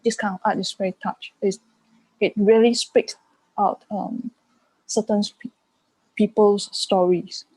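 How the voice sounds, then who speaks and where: A woman giving reflective answers as an interview guest, haltingly searching for her words. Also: mid-range voice, steady tone, warm tone, breathy edge, dry recording, low-quality recording. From Malaysia. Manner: halting, searching for words in non-native English. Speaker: art curator and panel guest.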